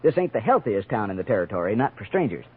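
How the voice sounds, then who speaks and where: An actor playing a chatty, nosy frontier express clerk with an American accent and folksy, rambling talk in a 1950s radio western. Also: male voice, fairly low pitch, very animated, fast talker, a little hiss or hum. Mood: folksy, deferential. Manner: folksy, rambling, drawn to gossip. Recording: tinny, narrow old radio recording.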